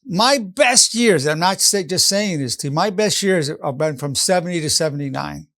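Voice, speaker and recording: A man's voice: on the high side, lively intonation, normal speed, a bit bright. An older man in his late seventies, a psychologist giving an earnest motivational lesson and repeating his key phrases. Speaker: psychologist teaching an online lesson. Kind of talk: motivational lecture.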